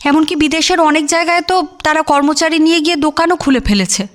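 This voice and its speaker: A woman's voice: sits high, fast talker, lightly acted. A woman playing a friendly, talkative married daughter, effusive in her audio drama dialogue. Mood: chatty, earnest.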